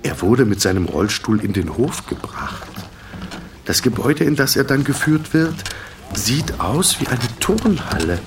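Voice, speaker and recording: A man narrating a radio drama in a sober, measured, detached manner, in short sentences. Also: medium pitch, sing-song, recorded from a distance, noisy background. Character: sober, detached male storyteller of a hospital tale.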